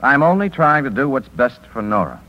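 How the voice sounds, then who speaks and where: A courteous, earnest romantic rival in an old radio drama. He talks quickly with very varied pitch, politely hesitant, on a slightly muffled broadcast. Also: sing-song. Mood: polite, uneasy. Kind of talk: 1950s radio acting. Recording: dull 1950s radio broadcast.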